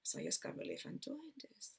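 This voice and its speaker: A woman from Barcelona with a Spanish accent tells a rambling personal story in a halting way, repeating words and trailing off. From Barcelona, Spain. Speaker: video host telling personal story.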